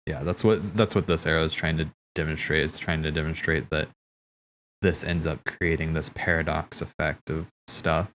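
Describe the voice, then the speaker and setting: A man streaming casual, rambling commentary on game cutscenes, thinking aloud in a halting way and repeating himself. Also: a bass voice, level tone, a bit bright, slight background noise.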